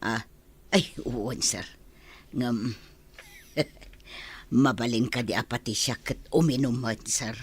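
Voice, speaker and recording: A man's voice: medium pitch, slow pace, pauses often, breathy edge. A man voicing a nosy, grumbling female household helper in an amateur audio drama, hesitant and questioning, with muttered asides. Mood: nervous, questioning.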